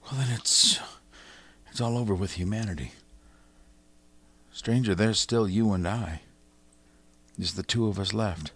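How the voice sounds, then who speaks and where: An actor playing an exhausted, scholarly survivor of a Martian invasion in a halting, grave radio drama style. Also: male voice, fairly low pitch, a bit bright. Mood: wary.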